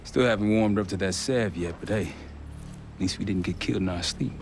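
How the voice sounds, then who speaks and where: A man's voice: on the low side, brisk, vocal fry, a little hiss or hum. A man playing a weary veteran CIA operative in a video game, terse and wry as he briefs his team. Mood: wry, businesslike. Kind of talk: scripted game cutscene narration. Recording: loud music behind. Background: loud dramatic music.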